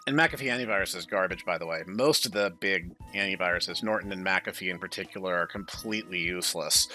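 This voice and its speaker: A man's voice: deep voice, fast talker, bright, forceful tone. A man hosting a let's-play, chatting casually and going off on opinionated, digressive asides over the game. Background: music.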